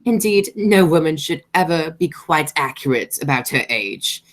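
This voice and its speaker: A woman playing an imperious, pompous aristocratic matron in an amateur radio play, speaking in a formal, deliberate way. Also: low-pitched, expressive. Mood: measured condescension. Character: haughty, imperious aristocratic matron Lady Bracknell, coolly disapproving.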